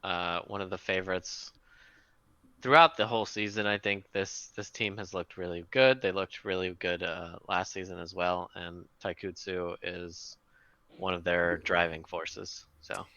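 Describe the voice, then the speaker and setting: Male co-host, very deep but bright voice, dull lo-fi mic, casual analysis. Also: fairly even intonation, unhurried, no room sound. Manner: thoughtful, talks through his picks. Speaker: podcast co-host.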